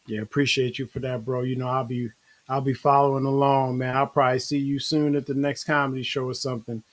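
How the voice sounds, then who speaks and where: A man's voice: medium pitch, level tone, a little fast. A man hosting a casual online interview over a video call, talking loosely and saying bro and you know. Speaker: online show host.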